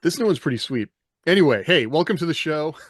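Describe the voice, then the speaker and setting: A man's voice: fairly high pitch, animated, fast talker, no room sound. A man hosting a casual panel chat in a playful, joking way. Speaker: podcast host.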